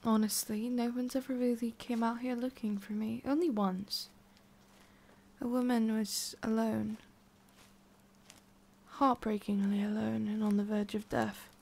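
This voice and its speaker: A woman playing a lonely, guarded immortal witch in an intimate ASMR roleplay, speaking softly and often dropping to a whisper. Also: fairly high pitch, level tone, a little slow, long pauses. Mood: melancholy.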